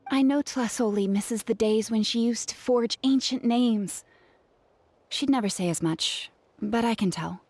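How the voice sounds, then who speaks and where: A woman playing an earnest, grateful gem artisan in a video game, telling things in a soft-hearted way. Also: a little high, a little slow, no room sound. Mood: warm, reflective.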